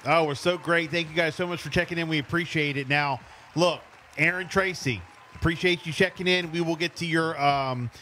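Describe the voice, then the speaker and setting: A middle-aged male radio host with a fairly high voice. He talks casually and effusively, as in a morning show interview, close on a studio microphone. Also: a little fast, bright, forceful tone, a little hiss or hum. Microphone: studio mic on boom arm, headset.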